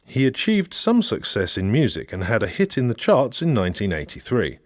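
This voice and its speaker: A man reading a satirical novel as an audiobook narrator, in a measured storytelling way with expressive emphasis. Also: medium pitch, dry recording, studio quality.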